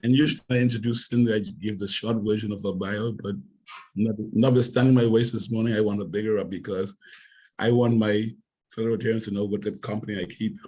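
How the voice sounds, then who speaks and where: A hoarse man who is losing his voice, giving a formal introduction of a guest speaker on a video call. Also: lowish voice, steady tone, a little fast, warm tone, rough recording.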